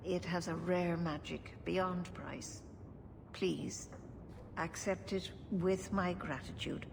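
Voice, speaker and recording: A woman playing a wise elder elf clan leader in a video game, solemn, measured and formal. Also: mid-range voice. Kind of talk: video game cutscene acting. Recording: noisy ambience behind the voice.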